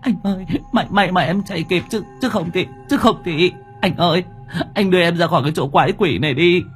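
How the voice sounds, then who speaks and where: A male narrator puts on a frightened young woman in a horror audio story, her pitch lifted well above his own. She stammers and repeats herself as she pleads for help, with music behind her. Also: brisk, lightly acted. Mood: shaken, relieved. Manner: stammering, repeats words in panic.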